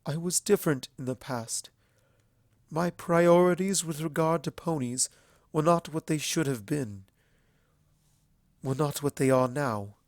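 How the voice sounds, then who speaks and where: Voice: mid-range voice, no room sound, studio quality, voice pushed up, close to an everyday voice. Storybook alicorn princess, done by a narrator: mature female mentor, stately, careful, hesitant, voice lifted above plain narration. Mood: defensive. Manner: formal, measured, hesitant.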